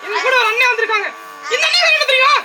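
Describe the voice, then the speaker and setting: A performer, a man, voicing a cheeky, excitable little boy puppet with a squeaky voice in a live children's show, loud, pushy and full of playful questions. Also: very fast, recorded from a distance, loud music behind the voice. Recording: noisy hall, children's crowd.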